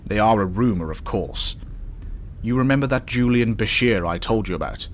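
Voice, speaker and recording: A thoughtful starship doctor, acted by a man with a deep voice and a calm, measured delivery. The lines are reflective sci-fi drama dialogue over a faint rumble of noise. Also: lightly acted. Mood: cautious. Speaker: actor in a science-fiction fan drama.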